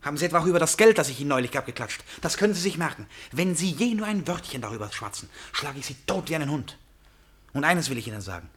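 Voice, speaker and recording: An actor in a German radio play as a drunken, domineering and lovesick stranger who swings abruptly from bullying to confiding. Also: male voice, a little high, sing-song, fast, fairly bright tone, a little hiss or hum. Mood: menacing, irritated.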